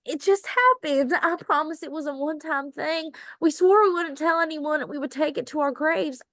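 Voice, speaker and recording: A woman's voice: high voice, moderate pace, fairly bright tone, dry recording. A woman acting a guilty, anxious young beauty queen, flustered and pleading, in a table read. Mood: pleading, remorseful.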